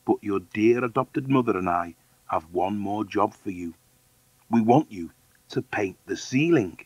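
A man's voice: on the low side, lively intonation, unhurried, a little mellow, husky, no room sound, poor audio. A man voicing a lazy, scheming adoptive father in a children's storybook, wheedling and mock-polite. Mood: falsely sweet. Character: sly, lazy, falsely sweet adoptive father.

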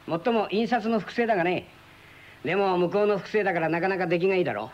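A man's voice: sits high, fast talker, close to an everyday voice. An actor playing a chatty, wry friend in his thirties in a radio drama, explaining things colloquially. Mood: easygoing. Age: 30s. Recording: dull lo-fi old radio recording.

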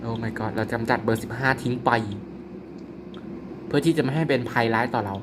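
A young man commentating his own mobile werewolf game in a chatty, excitable way, polite but slipping into laughter. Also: medium pitch, normal speed, noisy background. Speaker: gaming YouTuber.

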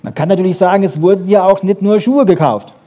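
A man lectures on science to an audience in a fairly high, husky voice with a soft, mellow tone. He is wry and conversational, often asking rhetorical questions and checking in with short tags. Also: quick pace, muffled recording. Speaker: lecturer on brain research.

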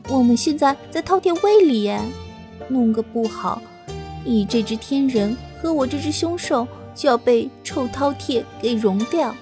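A woman's voice: high-pitched, animated, measured pace, dark tone. Woman voicing a bratty young beast spirit. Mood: exasperated. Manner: whiny, pouty and impatient. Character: petulant, teasing young female beast spirit. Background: background music.

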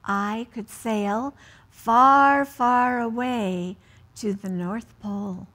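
An American woman in her sixties speaking to children as a church story time host, in deliberate phrases with pauses. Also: medium pitch. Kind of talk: read-aloud storytelling and prayer for children. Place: indoors, seated in a church.

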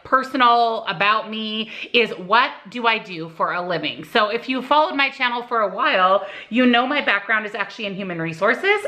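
Woman, forties, bright voice, casual friendly vlogger, home kitchen, camera close in front. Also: mid-range voice. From United States. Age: 40s. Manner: friendly and talkative while applying makeup. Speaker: YouTuber and real estate agent. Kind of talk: casual chatty makeup tutorial and Q&A. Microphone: camera on a tripod close in front.

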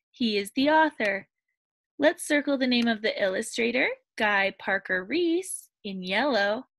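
A middle-aged woman teacher reads a picture book aloud for young children in a sing-song, expressive storybook voice. Her voice sits high and swoops up and down, and she takes it slow and clear. The webcam sound is close and dry. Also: dry recording. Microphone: webcam on a computer.

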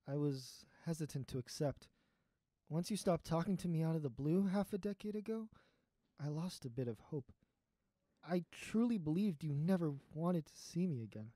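A young adult actor playing an earnest, heartbroken character with halting speech in an audio drama. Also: mid-range voice, expressive, dark tone, no room sound, lightly acted. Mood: wistful, hesitant. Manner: hesitant, earnest, halting confession. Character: heartbroken, lovesick young adult secretly turning into a beast.

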